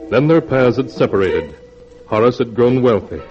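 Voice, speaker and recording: A man narrating a 1940s radio drama in a measured storytelling manner. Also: mid-range voice, big pitch swings. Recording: dull vintage radio recording with music.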